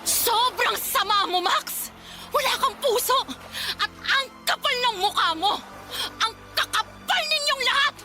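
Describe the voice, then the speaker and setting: A woman's voice: very high voice, animated, unhurried. A woman playing a fiery, confrontational betrayed girlfriend in a melodramatic radio drama, scolding shrilly and emphatically. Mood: hurt, furious. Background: background music.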